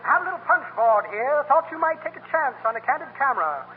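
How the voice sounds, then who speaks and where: High-voiced oily radio huckster. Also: male voice, sing-song, fast talker. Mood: wheedling. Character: slippery, oily small-time huckster, grandly polite. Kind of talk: 1930s radio comedy acting. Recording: tinny, faintly noisy 1939 radio broadcast recording.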